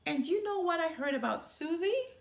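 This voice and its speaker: A woman's voice: very high voice, expressive, normal speed, creaky, voice pushed up, heavily acted. A woman voicing a young, sensitive sister bear cub in an earnest, emphatic storybook reading. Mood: angry, loud. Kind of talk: children's storybook read-aloud. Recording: roomy indoor echo.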